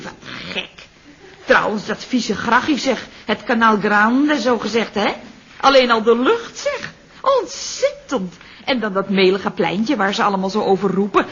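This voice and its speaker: Fields: a chatty, sarcastic receptionist, high and breathy. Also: female voice, fairly bright tone, exaggerated voice. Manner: chatty, mock-sympathetic, sarcastic. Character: chatty gossiping office receptionist. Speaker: radio play actress. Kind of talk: 1960s radio play acting. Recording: dull old radio recording.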